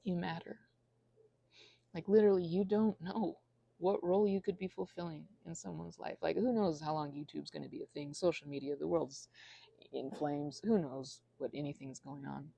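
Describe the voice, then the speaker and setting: A woman in her thirties giving a rambling, confessional ASMR monologue in a halting, earnest, self-deprecating way, with a husky, creaky voice. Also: deep voice, fairly even intonation, warm tone, no echo. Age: 30s. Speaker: ASMR channel creator.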